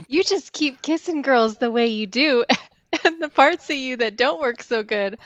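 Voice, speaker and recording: A woman reading the part of a warm, teasing teenage girl at a live table read, in dull lo-fi audio. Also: sits high, expressive, unhurried, no echo.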